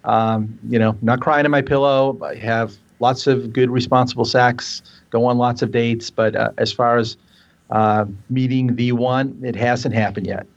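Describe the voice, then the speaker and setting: A man's voice: mid-range voice, fairly even intonation, measured pace. A man in his fifties, a guest on a casual chat show, hesitant and self-deprecating, often repeating his words. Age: 50s. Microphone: remote call line. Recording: dull lo-fi online call audio.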